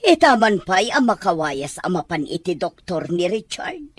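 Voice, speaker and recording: A woman's voice: lowish voice, very animated, moderate pace, slightly rough, dry recording. An actress playing a protective, worrying mother and wife in a radio drama. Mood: surprised, worried.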